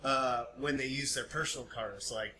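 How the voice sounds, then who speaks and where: A man co-hosting a podcast, chatting casually in a jokey, animated and rambling way. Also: mid-range voice, moderate pace. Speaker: podcast co-host. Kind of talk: casual chat. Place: small room, podcast setup. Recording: distant echoey mic, background noise.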